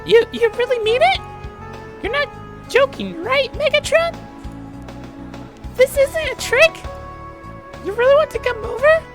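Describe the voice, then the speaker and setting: A man's voice: very high-pitched, lively intonation, a little slow, long pauses, music in the background, voice pushed up. A man voicing a shy, eager teenage anime girl in a visual novel, hesitant and flustered. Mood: excited.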